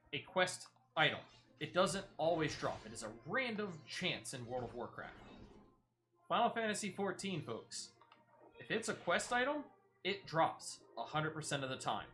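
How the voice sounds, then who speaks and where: Male game streamer, chatty and emphatic, bright tone, very animated intonation, off-the-cuff commentary. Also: on the high side, a little hiss or hum. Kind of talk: casual live gameplay commentary.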